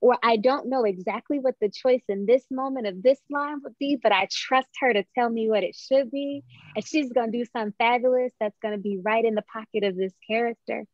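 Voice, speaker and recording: A young woman, a playwright, answering thoughtfully in an interview on a video call, with measured pauses and dull audio. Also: a little high, no echo. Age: young adult. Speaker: playwright guest.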